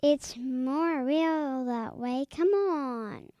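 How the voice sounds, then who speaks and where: A woman's voice: high-pitched, very slow, warm tone, dry recording. A female performer playing an earnest, insistent little girl in an audio drama, matter-of-fact and a bit bossy. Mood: gently bossy.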